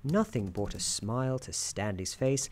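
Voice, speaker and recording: A soft-spoken ASMR narrator of unclear sex telling a story in a gentle, deliberate, soothing way. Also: mid-range voice, very animated. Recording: keyboard clicks and noise behind. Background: computer keyboard typing.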